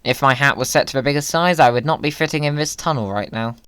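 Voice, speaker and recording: A man's voice: medium pitch, quick pace, fairly bright tone, smooth, dry recording. A man giving playful gaming commentary in a whimsical, silly manner. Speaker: video creator, gamer.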